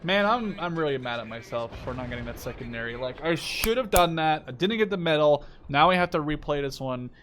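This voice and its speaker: A man's voice: a little high, lively intonation, brisk, hardly any pauses, fairly bright tone. A man in his twenties giving casual, rambling gameplay commentary, often interrupting himself. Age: 20s. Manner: rambling, self-interrupting, informal with mild swearing. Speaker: gaming video maker. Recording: game battle noise behind voice. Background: artillery, gunfire and vehicle sounds from game.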